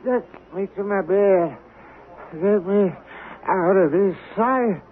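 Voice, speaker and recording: Feeble, gasping old man, painfully slow. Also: high voice. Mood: distressed. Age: older. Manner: gasping, broken, labored. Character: stricken old rich man, feeble and frightened. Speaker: actor. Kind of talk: 1970s radio drama acting. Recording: tinny, noisy vintage radio broadcast.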